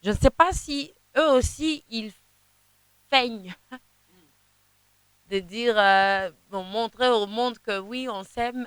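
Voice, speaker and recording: A young woman gospel singer, Kinande her first language, talks in a halting, searching way and often doubles back on her words. Her voice is smooth and clean, caught dry and close with no room around it. She sits at a middle pitch for a woman. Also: no room sound. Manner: sings softly in places. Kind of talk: interview answers.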